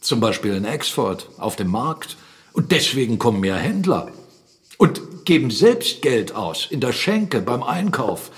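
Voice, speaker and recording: An actor playing a proud, brusque mill owner and captain in a radio drama, boastful and quick to argue. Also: male voice, medium pitch, very animated. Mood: insistent. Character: blunt, proud mill-owning captain, a gruff businessman.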